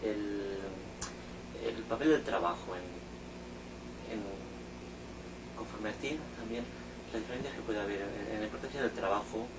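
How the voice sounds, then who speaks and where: A man interviewing, building long questions slowly with many fillers. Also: lowish voice. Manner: hesitant, many fillers while forming questions. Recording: distant mic with loud hiss.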